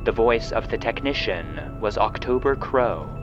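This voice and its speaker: A man reading scripted narration and credits as a show's narrator, in a formal, measured announcing style. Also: mid-range voice, lively intonation, lo-fi sound. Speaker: producer and narrator. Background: music.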